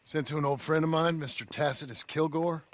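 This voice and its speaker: An actor playing a rough, laconic outlaw of the old West in a video game, with an American accent and a drawling, sardonic manner. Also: male voice, on the high side, fairly even intonation, brisk, natural voice. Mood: polite, awkward. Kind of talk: video game cutscene acting.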